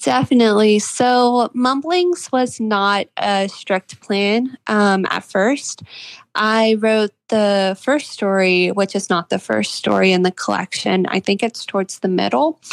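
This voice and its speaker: Female, twenties, American. Gravelly fry on the voice, earnest guest-author interview delivery, dry sound. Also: medium pitch, unhurried, dry recording, low-quality recording. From Charleston, West Virginia, United States. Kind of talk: conversational interview answers.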